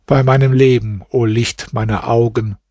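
Guy reading a steadfast young hero from an old tale, firm and resolute, bit husky, dry close sound. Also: mid-range voice, fairly even intonation, normal speed, a little mellow, dry recording, natural voice. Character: determined young husband and hero.

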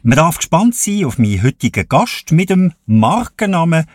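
Husky radio host. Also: male voice, a little high, sing-song, moderate pace, no room sound, studio quality. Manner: friendly, prompting questions. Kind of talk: radio interview.

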